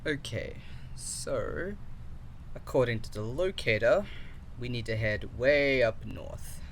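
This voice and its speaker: A man's voice: mid-range voice, takes it slowly, creaky, slight background noise. A man acting as a curious, cautious researcher in an audio drama, hesitant and thinking aloud in short phrases. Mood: determined. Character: curious, cautious male researcher and code developer, encouraging.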